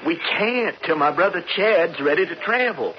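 An actor playing an anxious, earnest frontier cowboy in a radio western, plain-spoken. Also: male voice, high-pitched, animated, moderate pace. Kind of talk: 1970s radio western acting. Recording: muffled noisy old radio broadcast.

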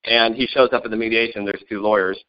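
A man co-hosting a podcast in casual chat, an animated storyteller who laughs often. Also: fairly low pitch, fast, clean tone, no echo. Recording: dull lo-fi sound, dry.

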